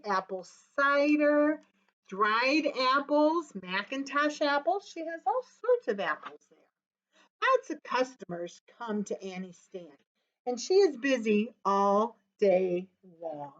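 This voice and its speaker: An older woman reading a picture book and talking warmly to young children at a virtual storytime. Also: a little high, muffled recording. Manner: animated and encouraging, gasps with delight, sings and chants rhymes. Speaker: children's librarian. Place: small office with window blinds, indoors.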